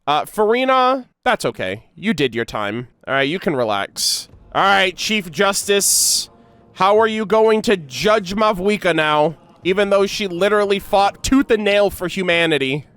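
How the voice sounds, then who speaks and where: A man's voice: sits high, lively intonation, unhurried, a bit bright, clear, resonant tone, no echo. A man hosting a gaming reaction video, commenting casually in slangy, sweary, informal language. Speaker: reaction video host, streamer. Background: faint music.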